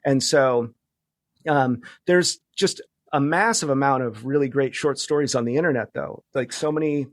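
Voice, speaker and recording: Guy being interviewed, casual n reflective, thinks out loud w lots of likes and ums, dry sound no room. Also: medium pitch, moderate pace, no room sound. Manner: informal, hesitant, thinking aloud with many filler words. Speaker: podcast guest, journalist and media founder.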